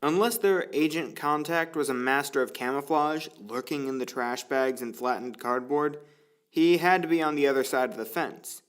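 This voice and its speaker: A man's voice: medium pitch, normal speed, smooth. A man reading scripted story narration for an audio drama. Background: faint music.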